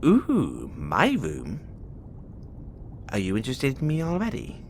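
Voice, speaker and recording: A man's voice: medium pitch, huge pitch swings, takes it slowly, a little mellow, slight background noise, exaggerated voice. A man voicing a playful, flirtatious male space demon who teases the listener in an audio roleplay. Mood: teasing, conspiratorial. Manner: playful, teasing, giggles often.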